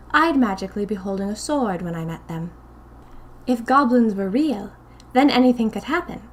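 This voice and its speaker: A woman narrating a first-person story for a fiction podcast, deliberate and confiding, as if to a diary. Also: medium pitch.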